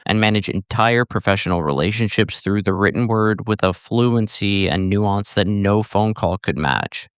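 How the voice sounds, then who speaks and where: Smooth-voiced man narrating a scripted essay. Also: fairly low pitch, dry recording, studio quality.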